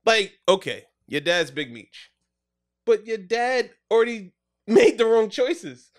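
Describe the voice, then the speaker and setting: High, very lively male podcaster. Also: slow pace. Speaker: podcast co-host. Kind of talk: casual, slangy banter.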